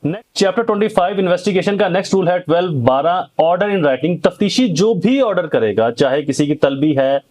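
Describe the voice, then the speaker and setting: A man's voice: sits high, expressive, brisk, hardly any pauses, darkish tone. A man with a Pakistani accent giving an instructive, methodical explanatory lecture, mixing in English terms. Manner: code-switches between Urdu and English terms. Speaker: host of a legal education channel, lecturer.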